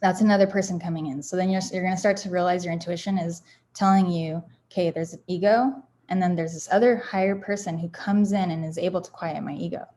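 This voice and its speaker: Low-voiced woman giving candid pep talk. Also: fairly even intonation, quick pace, dark tone. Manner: candid and rambling, with many fillers and restarts. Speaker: founder sharing self-help affirmations. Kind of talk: casual motivational talk.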